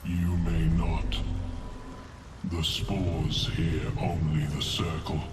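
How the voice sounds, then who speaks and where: Fungal sovereign, game creature: bottomless pitch, glacial delivery, droning, ceremonial, grieving and vengeful. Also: male voice, little pitch movement, background noise. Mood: solemn. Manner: slow, terse, ceremonial pronouncements. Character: ancient mushroom sovereign, grieving, vengeful, solemn. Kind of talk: video game voice acting. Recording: loud music behind. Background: loud music.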